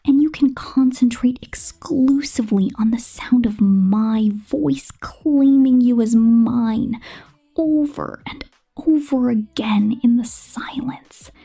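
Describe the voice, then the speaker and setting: A woman voicing a possessive succubus pop star in an ASMR roleplay, seductive and menacing, speaking slowly and intimately in breathy whispers. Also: on the high side, warm tone, heavily acted. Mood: commanding, possessive. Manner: slow, deliberate, intimate.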